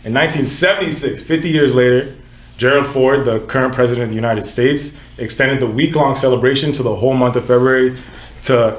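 Young guy hosting a chill group podcast, keeps correcting himself, echoey room. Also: mid-range voice. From Nigeria. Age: 20s. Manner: conversational, self-correcting, lots of "you know what I'm saying". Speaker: podcast host. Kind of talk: casual group podcast chat.

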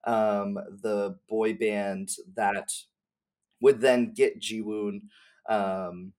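A man's voice: fairly low pitch, fairly even intonation, very slow, a little mellow. A man talking as a podcast guest in a casual, slangy, rambling conversational style. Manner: informal, slangy, hedging and rambling. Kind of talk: casual conversational chat about game lore.

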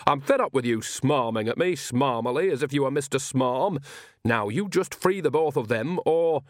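A man's voice: mid-range voice, animated, normal speed, dry recording, clean studio sound, lightly acted. A man voicing a feisty, blunt old country woman from a storybook, cheeky and snappish.

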